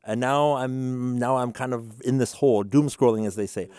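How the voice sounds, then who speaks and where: A man's voice: medium pitch, steady tone, moderate pace, warm tone, dry recording. Male interviewer in a dry, close recording. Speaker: interviewer, host. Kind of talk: casual conversational interview.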